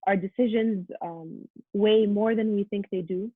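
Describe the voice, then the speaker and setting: A woman giving a prepared, earnest presentation over a video call, steady with frequent um fillers. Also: medium pitch, unhurried, husky, dry recording. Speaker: conference presenter. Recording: tinny phone-like line.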